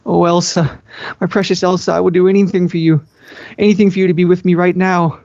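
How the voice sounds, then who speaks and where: A man's voice: high-pitched, flat delivery, fast talker, vocal fry, a little mellow, voice pushed up. A man voicing a lovestruck, anxious young princess in a pleading, gasping manner for a story reading. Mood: yearning. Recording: dull, roomy amateur recording.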